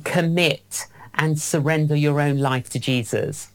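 A man hosting a faith radio interview, asking questions in a measured, encouraging way. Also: on the high side. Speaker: radio host.

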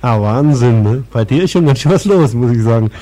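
A man's voice: mid-range voice, animated, fairly quick, mellow, low-quality recording. A man hosting a casual radio chat, teasing and exclamatory, often ending on tag questions. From Bavaria, Germany. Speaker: radio show host.

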